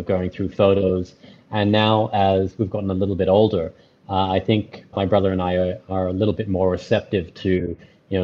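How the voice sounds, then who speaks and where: A man with a lowish voice talks earnestly in a relaxed interview, circling back and restarting his sentences as he thinks aloud. The sound is slightly dull and lo-fi. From Australia. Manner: earnest, rambling, many restarts and fillers. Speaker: guest. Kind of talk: casual interview conversation.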